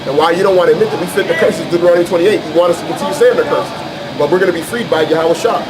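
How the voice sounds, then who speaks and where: A man's voice: on the high side, very fast, very noisy. An American man preaching and teaching scripture informally, arguing his points in an emphatic, repetitive, colloquial way. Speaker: street preacher and Bible teacher.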